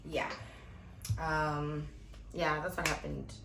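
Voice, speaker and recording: A young woman in her twenties talks straight to her viewers in a chatty, blunt, playful way. Her voice sits on the lower side, and the room around her rings with echo. A faint hum of background noise runs underneath. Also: level tone, slow. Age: 20s. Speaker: vlogger and club host. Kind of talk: casual Q&A vlog to camera.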